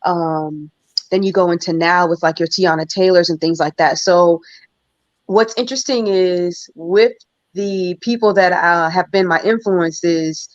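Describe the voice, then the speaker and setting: Singer guest, female, low-ish voice, reflective and measured, muffled dry lo-fi recording. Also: no echo. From New York. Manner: reflective, thoughtful, measured with fillers. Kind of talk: casual interview talk. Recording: dull lo-fi dry sound.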